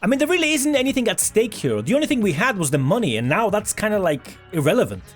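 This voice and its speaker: A man's voice: high voice, very animated, quick pace, faint music behind. A man hosting a scripted esports news video in a casual, sarcastic, jokey way with some swearing. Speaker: video host.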